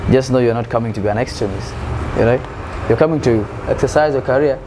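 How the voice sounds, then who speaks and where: A man from South Sudan talks earnestly about his music career, often stumbling over and repeating words as he looks for the right phrase. His voice sits at an ordinary middle pitch. A steady hum of background noise runs under the recording. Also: a little fast. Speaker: musician guest. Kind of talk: casual interview answers.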